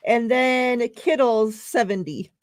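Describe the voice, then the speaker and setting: A woman's voice: on the high side, slow, dry recording, clean studio sound. A woman hosting a casual sports podcast livestream, who laughs often and draws out her words while running giveaways. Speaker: podcast host. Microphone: remote livestream call.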